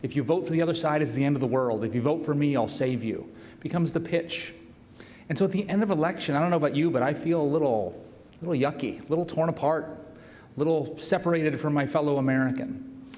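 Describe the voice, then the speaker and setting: A man's voice: a little high, a little fast, darkish tone. A man who is a university president, giving an earnest, conversational welcome speech and introducing the speaker. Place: large hall. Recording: roomy hall reverb, faint noise.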